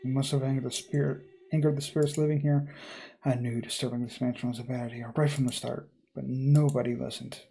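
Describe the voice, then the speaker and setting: A man's voice: mid-range voice, level tone, brisk, creaky, mellow, a little hiss or hum, lightly acted. A man voicing a jittery, superstitious real-estate agent in a horror game, muttering to himself in exasperation. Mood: fearful, fed up.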